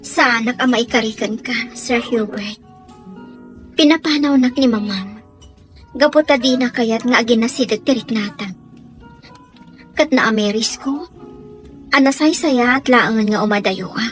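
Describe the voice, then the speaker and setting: A woman's voice: on the high side, a little slow, long pauses, faint music behind, close to an everyday voice. A woman playing a humble, hesitant young working woman in love, in an Ilocano radio drama, with an Ilocano accent. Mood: sad, hesitant.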